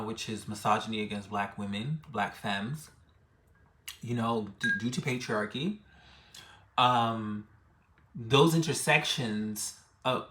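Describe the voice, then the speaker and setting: A man in his thirties with a mid-pitched voice shares a personal story. His delivery is reflective and halting, and he leans on repeated phrases for emphasis. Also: fairly bright tone. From Bronx, New York City, United States. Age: 30s. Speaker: death midwife and ritualist, vlogger.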